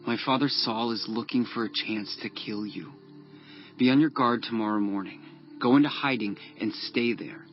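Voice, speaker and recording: A man's voice: mid-range voice, normal speed, highs cut off, faint music behind, natural voice. Man acting a loyal, earnest young prince. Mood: urgent warning. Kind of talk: dramatized scripture audiobook.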